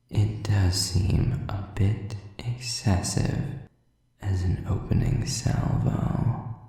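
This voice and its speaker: A man voicing a sadistic, cold, mockingly possessive demon in an audio roleplay, with slow, deliberate, breathy, taunting whispers. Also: low voice, warm tone, very echoey, distant mic, exaggerated voice. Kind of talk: intimate audio roleplay acting.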